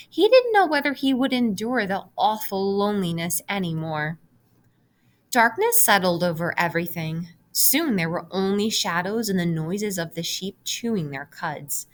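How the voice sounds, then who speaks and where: A woman reading a children's story aloud expressively, in the manner of a teacher. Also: medium pitch. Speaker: read-aloud reader, teacher.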